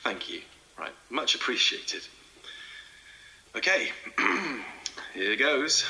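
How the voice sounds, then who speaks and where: An actor playing a cheerful, curious young amateur investigator in an audio drama, speaking in a wry, chatty, polite way. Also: male voice, mid-range voice, big pitch swings, extremely slow, pushed and bright, exaggerated voice, poor audio. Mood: easygoing, polite. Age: young adult.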